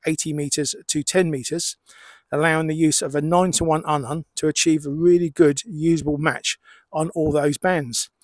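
A middle-aged man explaining and demonstrating as a hobbyist presenter, in measured short phrases with pauses. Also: fairly high pitch, no room sound. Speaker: amateur radio hobbyist presenter.

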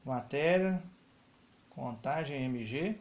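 A man's voice: mid-range voice, lively intonation, slow pace. A man giving news commentary, explaining as he points through web pages. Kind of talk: screen-recorded news commentary. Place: voice-over over screen recording.

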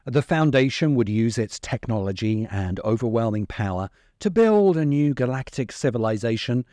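A middle-aged man delivering scripted commentary as a video essayist, with measured, emphatic phrasing. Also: medium pitch, lively intonation, mellow, no room sound, studio quality. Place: open-sided covered outdoor space. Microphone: desk microphone close in front. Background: music.

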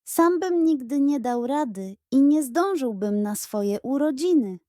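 A woman's voice: high-pitched, animated, unhurried, warm tone, clear, resonant tone, no echo, clean studio sound, voice pushed up. A woman voicing a dramatic little boy in a children's audio story. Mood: grateful. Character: panicked little birthday boy stuck in cream.

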